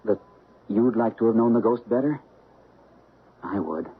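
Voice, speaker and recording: An actor playing an average, skeptical suburban husband in an old radio drama, questioning and conversational. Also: male voice, on the low side, measured pace, slightly rough, exaggerated voice. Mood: wistful. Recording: muffled phone-like old radio recording.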